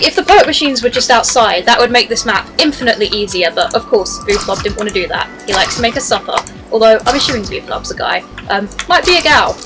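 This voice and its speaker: A woman commentating her own gameplay in a casual, chatty, excitable way, swearing now and then, her voice a little lower than usual after days of heavy streaming. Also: rough recording. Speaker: gaming streamer.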